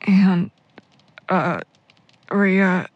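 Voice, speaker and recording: A woman playing a tender, awkward young character in an intimate audio drama, soft-spoken and halting. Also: fairly low pitch, steady tone, no echo, muffled recording. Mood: flustered. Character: tender, awkward young person called Daniel.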